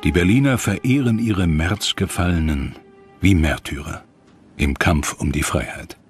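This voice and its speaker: A man narrating a scripted historical documentary in a measured, dramatic way. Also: deep voice. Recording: dramatic music behind the voice. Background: music.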